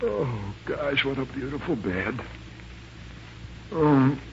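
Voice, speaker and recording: An exasperated young fellow in a forties radio drama, with a widely swinging, animated delivery. He blusters and sputters through his sentences. The recording is muffled, with noticeable hiss. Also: mid-range voice, takes it slowly, creaky. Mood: evasive, weary. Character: exasperated, flustered young man secretly sheltering a stowaway woman. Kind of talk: 1940s radio drama acting.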